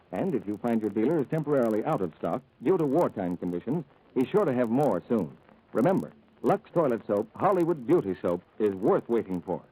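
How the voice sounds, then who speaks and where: Husky, expressive 1940s radio announcer man. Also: mid-range voice, low-quality recording. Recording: old 1940s radio recording.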